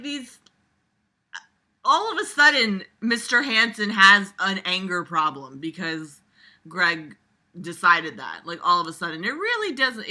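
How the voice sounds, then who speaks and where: A woman's voice: fairly low pitch, bright, forceful tone. A woman casually reading aloud with mocking commentary, sarcastic, exasperated and foul-mouthed. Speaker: amateur reader and commentator with a regular audience.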